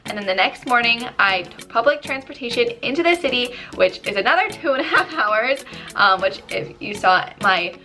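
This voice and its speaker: A woman in her twenties from New Jersey, slightly hoarse and losing her voice, telling a story in a chatty vlog full of ums and likes. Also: fairly high pitch, expressive, normal speed, sharp tone, soft background music. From New Jersey, USA. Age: 20s. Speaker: YouTuber.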